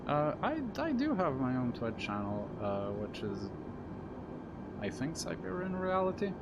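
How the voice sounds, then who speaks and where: A man's voice: on the high side, big pitch swings, a little slow, creaky, lo-fi sound. A man from Serbia whose first language is Serbian, streaming a flight simulator in casual, rambling commentary full of fillers and self-deprecating asides. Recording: loud constant background hum.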